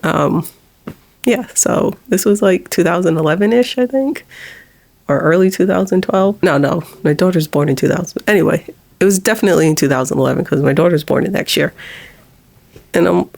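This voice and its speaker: A woman in her forties with a low, monotone voice, talking haltingly about something personal in a vlog with nervous laughs while holding back tears. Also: creaky. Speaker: vlogger.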